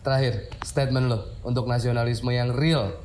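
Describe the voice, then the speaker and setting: A male comedian hosting a casual podcast, relaxed and jokey, often affirming his guest. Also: medium pitch, moderate pace, a little hiss or hum. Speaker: podcast host, stand-up comedian.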